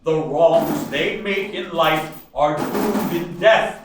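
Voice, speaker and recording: A man acting in a radio play as the doomed, solemn ghost of a dead soldier turned businessman, with a drawn-out theatrical delivery. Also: fairly high pitch. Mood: mournful, grave. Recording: echoey, distant stage recording with noise.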